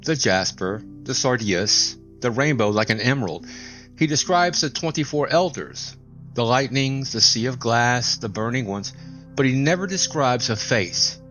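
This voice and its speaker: A man narrating a scripted, dramatic Bible explanation in a solemn, deliberate way with emphatic pauses. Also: medium pitch, lively intonation, fairly bright tone. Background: music.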